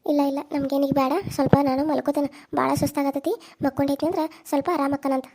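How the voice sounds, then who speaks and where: A woman's voice: sits high, flat delivery, racing, darkish tone. A woman voicing a stern older village mother-in-law with a North Karnataka accent, talking in a bossy, scolding way. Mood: weary. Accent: North Karnataka Kannada.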